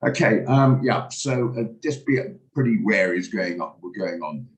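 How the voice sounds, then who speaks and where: A man, hoarse from a cold, hosting a live trading room and talking through charts with hesitant, stammering restarts. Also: fairly low pitch, expressive, moderate pace. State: hoarse, recovering from flu. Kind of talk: live market commentary on a video call.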